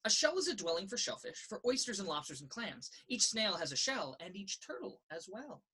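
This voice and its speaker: A woman reads a children's picture book aloud. Her pitch moves in a lively, expressive way through a sing-song rhythm. The voice has a husky, slightly rough edge. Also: fairly quick. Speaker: story reader. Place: indoors in a children's library.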